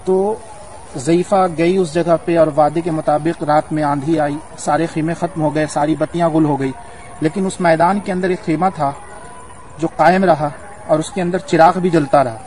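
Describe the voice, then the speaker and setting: Higher-voiced man telling devotional history, noisy outdoors. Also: fairly even intonation, normal speed, a little mellow. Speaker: religious guide narrating a shrine's history. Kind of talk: devotional storytelling on location. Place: outdoors on a hilltop at a shrine. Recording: outdoor recording with clear ambient noise.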